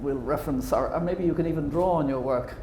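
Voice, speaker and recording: Male panel moderator, halting and friendly, mellow tone, roomy recording. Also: a little high, a little hiss or hum. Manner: hesitant, many ums and uhs, friendly joking.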